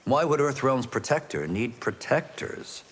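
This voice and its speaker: Game fighter role male actor: self-assured, animated delivery, noticeable noise and reverb. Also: medium pitch, unhurried, lightly acted. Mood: probing. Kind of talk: video game character acting. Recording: long reverb, clear noise.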